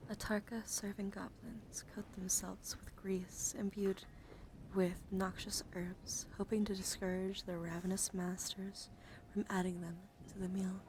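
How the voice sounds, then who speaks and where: A woman livestream host speaking softly and gently in an ASMR style, often slipping into whispers, close to the microphone. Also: medium pitch. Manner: frequently drops into whispers. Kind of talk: soft-spoken ASMR card reading and casual stream chat. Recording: clear background ambient noise.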